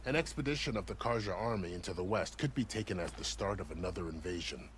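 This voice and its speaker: An actor playing a seasoned, gracious frontier military commander in a video game, speaking in a measured, formal, matter-of-fact way. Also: male voice, low-pitched, slight background noise, natural voice. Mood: steady, courteous. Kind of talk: video game dialogue acting.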